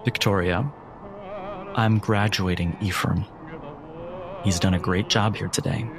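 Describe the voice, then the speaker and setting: A man playing a calm, controlling asylum doctor who speaks in a measured, deliberate way with soothing authority. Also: deep voice, music in the background. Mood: measured, persuasive.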